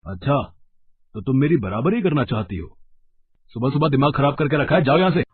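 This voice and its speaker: A man's voice: mid-range voice, animated, brisk, husky, narrow, tinny audio. A man playing a rude, jealous street vendor in a cartoon story, scolding and blustering. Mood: irritated. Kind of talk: Hindi moral cartoon story acting.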